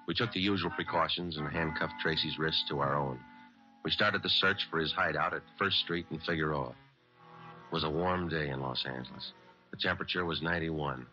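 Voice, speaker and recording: A man's voice: very deep voice, normal speed, sharp tone. An actor playing a stoic, dogged American police detective narrating his case in terse, matter-of-fact radio-drama style. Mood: weary, deadpan. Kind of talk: 1940s-50s radio crime drama, clipped narration. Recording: dull vintage radio recording, music.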